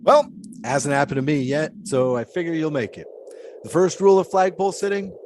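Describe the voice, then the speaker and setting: An actor playing an eccentric, cryptic pole sitter who talks in dreamy, teasing riddles. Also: male voice, a little high, a little fast, darkish tone, slight background noise, natural voice. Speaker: pole sitter Shipwreck Kelly. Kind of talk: audio drama acting.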